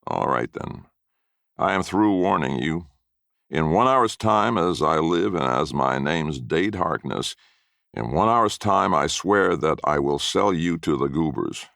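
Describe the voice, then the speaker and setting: A man's voice: rumbling low, lively intonation, unhurried, gravelly, a bit bright, no echo, studio quality, exaggerated voice. A man voicing a mean, bullying old grandfather who barks threats and orders in an acted story reading. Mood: threatening. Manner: domineering, barking orders.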